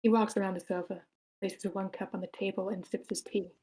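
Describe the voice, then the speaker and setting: A woman's voice: mid-range voice, level tone, a little fast, warm tone, poor audio. Female narrator, middle register, scripted screenplay narration for a table read. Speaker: narrator reading stage directions.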